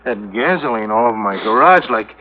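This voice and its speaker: A man acts out a beefy, over-eager American gangster in an old radio play. He stammers nervously in rough, slangy talk at an everyday middle pitch. The broadcast sounds thin and phone-like. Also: animated, fairly quick, close to an everyday voice. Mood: apologetic, flustered. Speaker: actor in a supporting role. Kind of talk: 1950s radio drama acting. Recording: tinny vintage radio broadcast.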